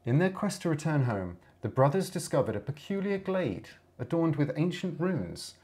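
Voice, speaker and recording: A man's voice: a little high, sing-song, moderate pace, a little mellow. Man reading a playful storybook tale. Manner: reads with playful storybook emphasis, laughing between lines. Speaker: podcast co-host. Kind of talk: reading a story aloud, mixed with casual banter.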